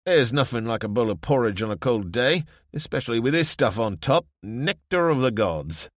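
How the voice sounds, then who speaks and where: A man's voice: medium pitch, animated, normal speed, no room sound, studio quality, voice pushed up, close to an everyday voice. A man voicing a wry, understated man with a London accent who reluctantly leads the town's police. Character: wry middle-aged man, reluctant town police chief.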